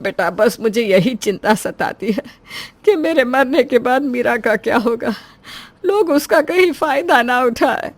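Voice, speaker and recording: A woman's voice: a little high, animated, a little slow, no echo, pitched above the performer's own voice. A woman voicing a protective middle-aged mother of grown children, earnest and fretful, in a family story. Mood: worried. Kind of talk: Hindi family story voice-over.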